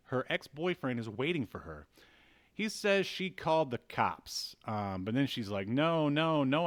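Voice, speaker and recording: A man in his thirties co-hosting a movie review show, recapping a film in a casual, wry, halting way. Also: medium pitch, a bit bright. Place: indoors at home, video call.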